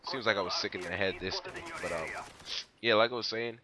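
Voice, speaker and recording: Stuffy deep-voiced young man, rambling. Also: brisk, background noise. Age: young adult. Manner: rambling, laid-back, many fillers. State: stuffy from a cold. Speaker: gameplay commentator. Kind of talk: casual gameplay commentary.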